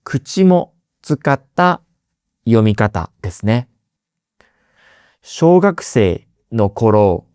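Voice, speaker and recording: A man hosting a language-learning podcast, explaining things slowly and simply, repeating key words and asking listeners friendly questions. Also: mid-range voice, darkish tone, clear, resonant tone, no room sound, clean studio sound. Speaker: Japanese teacher, podcast host.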